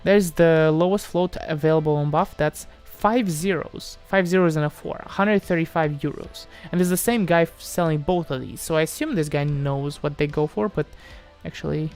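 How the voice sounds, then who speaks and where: A man's voice: a little high, moderate pace, few pauses, darkish tone, smooth, faint music behind. A man from Moldova chatting casually on a livestream as he answers viewers, hesitant, often repeating himself and trailing off. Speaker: live streamer answering chat questions.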